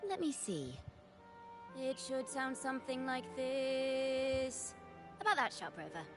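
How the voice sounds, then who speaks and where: A woman's voice: sits high, very animated, slow, exaggerated voice. A woman voicing a breezy, playful young female bard in a video game, with a theatrical, teasing storyteller's manner. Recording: game music behind the voice.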